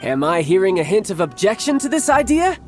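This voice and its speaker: An actor playing a cocky, playful young warrior in a video game, smooth and teasing with theatrically formal speech. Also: male voice, high voice, very animated, fairly quick, soft background music. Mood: brisk, confident. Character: cocky, playful young male warrior posing as toy salesman.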